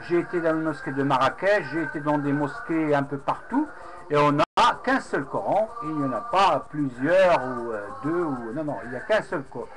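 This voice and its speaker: A man whose first language is Arabic, speaking in a slightly high voice, talks unscripted into a webcam. He hesitates constantly, fills pauses and restarts sentences. The home recording carries a clear layer of background noise. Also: lively intonation. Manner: hesitant, many fillers and restarts. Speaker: religious speaker recounting a debate. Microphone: webcam microphone.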